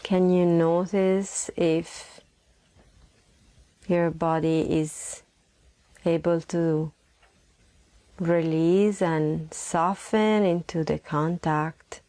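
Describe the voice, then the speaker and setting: A woman leading a guided meditation in a soothing, unhurried manner, with long pauses, repeated phrases and a soft voice that is breathy at times. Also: lowish voice, fairly even intonation, no echo.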